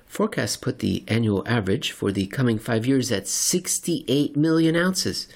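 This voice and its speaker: A man reading a scripted narration in a dramatic, deliberate and wry manner. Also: medium pitch, gravelly. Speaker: narrator and reader. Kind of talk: theatrical scripted narration of market report and story.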